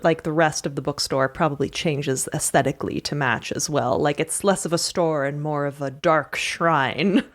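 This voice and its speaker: A woman's voice: deep voice, fairly even intonation, moderate pace, hardly any pauses. A woman playing a tabletop game, improvising casually with playful, dry humor.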